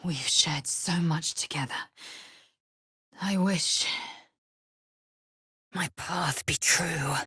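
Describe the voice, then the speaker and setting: A woman playing a fierce, proud, disdainful young githyanki warrior in a video game, speaking in a husky, breathy, imperious and clipped way. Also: low voice, pushed and bright, no echo, clean studio sound, full character voice. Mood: hushed, intense. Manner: imperious, clipped, deliberate, formal.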